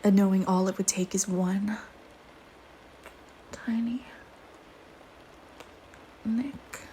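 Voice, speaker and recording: A woman voicing a flirty, teasing, predatory female vampire in an intimate audio roleplay, with playful banter and breathy murmurs. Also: medium pitch, fairly even intonation, drawn-out words, dark tone, a little hiss or hum. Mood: seductive, hungry.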